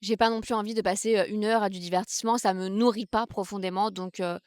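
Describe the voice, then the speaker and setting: A young woman from Brittany, France, speaks in a smooth, clear voice, thinking aloud and often pausing mid-sentence. The sound is close and dry, with no room around it. Her pitch sits in the middle for a woman. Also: fairly even intonation, a little mellow, dry recording. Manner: thoughtful, hesitant, many fillers and restarts. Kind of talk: casual reflective vlog talk. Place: outdoors in the countryside, seated on a wooden stool.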